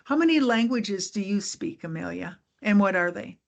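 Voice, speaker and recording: A woman hosting a casual podcast interview, upbeat and encouraging, with quick asides. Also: mid-range voice, expressive, vocal fry. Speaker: podcast host.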